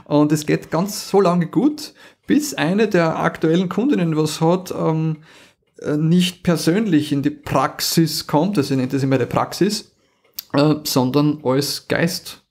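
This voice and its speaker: A man's voice: on the high side, measured pace. Chatty man reviewing a radio play. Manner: lively, laughs often, restarts sentences. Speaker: podcast co-host. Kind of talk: casual chat about audio dramas.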